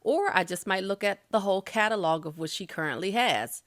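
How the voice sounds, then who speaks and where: A woman's voice: medium pitch, expressive, fairly quick, a bit bright, no room sound. A middle-aged woman giving YouTube tips in a casual, chatty way, with light laughs and loose restarts. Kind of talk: casual spoken tutorial.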